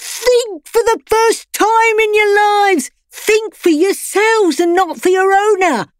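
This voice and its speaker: A woman's voice: extremely high pitch, steady tone, slow, no echo, studio quality, pitched above the performer's own voice. A woman voicing a grumpy, defiant male pet dog who rails against his owners. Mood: rousing. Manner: scornful, defiant, speechifying.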